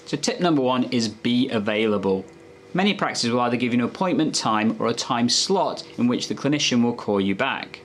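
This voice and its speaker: A man's voice: medium pitch, slight background noise. A man presenting health tips as a doctor, explaining in a conversational, instructive way to viewers.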